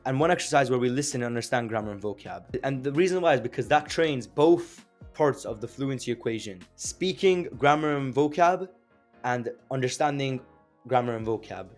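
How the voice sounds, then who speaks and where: A young man whose first language is Azeri, explaining language learning in a casual, chatty way with fillers and rhetorical questions. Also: medium pitch. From Azerbaijan. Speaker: language teacher. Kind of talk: casual explanatory video lesson.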